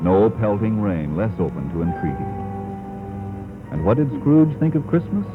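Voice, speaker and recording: An actor as a wry, dramatic storyteller narrating a 1940s radio play in an emphatic, theatrical way. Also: male voice, on the low side, sing-song, moderate pace. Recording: phone-like 1940s radio broadcast with music.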